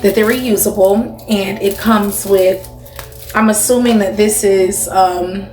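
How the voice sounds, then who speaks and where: A woman in her thirties chats like a beauty vlogger in an echoey room, with music in the mix. Her pitch sits in the middle for a woman. She talks casually and familiarly to her audience, tossing in emphatic check-ins. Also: a little mellow. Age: 30s. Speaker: beauty vlogger and channel host. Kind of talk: casual, chatty vlog talk to camera.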